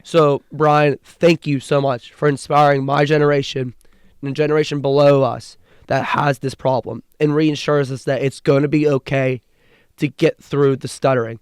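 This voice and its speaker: A man's voice: medium pitch, little pitch movement, moderate pace, smooth, no room sound, studio quality. A young man who stutters, co-hosting a casual sports podcast in an earnest way, often restarting and repeating words. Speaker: podcast co-host.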